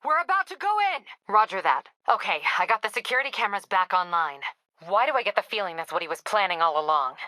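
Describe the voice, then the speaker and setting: Game role, a no-nonsense female police captain: forceful, dry-humored, clipped delivery, very close and dry recording. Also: medium pitch, very animated, fast talker, dry recording. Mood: urgent, commanding, then grim, businesslike. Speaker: video game voice actor. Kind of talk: dramatic video game dialogue.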